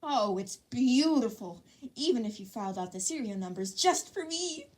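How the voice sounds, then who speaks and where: A woman's voice: on the high side, expressive, moderate pace, darkish tone, lightly acted. A woman voicing a cheerful, sly teenage boy hero student who beams with feigned innocence. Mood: gleeful.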